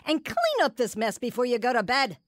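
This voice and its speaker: A woman's voice: fairly high pitch, fairly quick, over music, lightly acted. An actress playing a cruel, critical middle-aged mother in a radio drama, accusing and scornful. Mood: accusing, cold.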